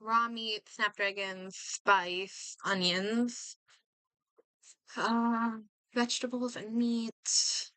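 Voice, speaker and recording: Bright-voiced woman streaming a game, unhurried. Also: a little high, steady tone, clear, resonant tone, no room sound, rough recording. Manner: hesitant, halting, thinking out loud. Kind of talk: casual livestream commentary, reading game text aloud and reacting.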